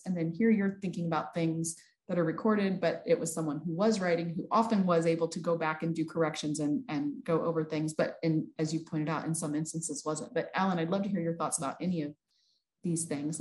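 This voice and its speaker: A woman in her thirties with a fairly low voice moderates an online academic discussion. She speaks over a video call from an indoor office. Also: steady tone, fast talker, a little mellow, low-quality recording. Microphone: video call, computer mic.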